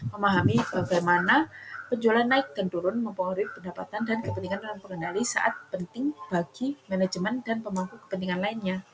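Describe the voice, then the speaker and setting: A woman's voice: mid-range voice, fairly quick. A young woman reading a scripted student presentation. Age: young adult. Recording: loud background noise with clicks.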